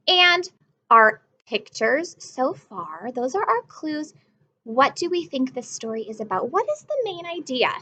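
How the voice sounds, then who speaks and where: Lively high-voiced woman teacher. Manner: encouraging, asks children questions. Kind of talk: read-aloud lesson for young children.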